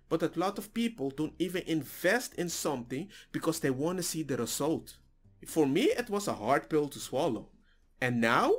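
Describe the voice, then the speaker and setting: A man in his forties whose first language is Papiamento, musing casually in a reaction vlog from a small home room, with restarts and slips. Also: on the high side. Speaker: reaction video host. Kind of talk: casual reflective monologue. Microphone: headset.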